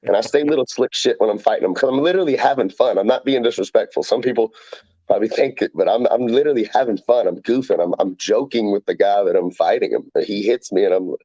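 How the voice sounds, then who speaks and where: Guest fighter, male, lower voice, mellow tone, excitable and profane, dry dull recording. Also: fast, dry recording. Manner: rambling, excitable, swears freely, acts out quotes. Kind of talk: casual podcast chat.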